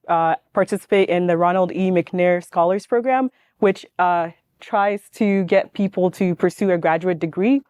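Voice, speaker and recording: A young woman speaks on a panel in a smooth, clear voice. Her pitch stays fairly level as she tells her own story informally. The sound is dry and close, with little room around it. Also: a little mellow, dry recording. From born in Ghana, grew up in Washington state, US. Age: young adult. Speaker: panelist, manufacturing engineer. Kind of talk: informal personal introduction on a panel.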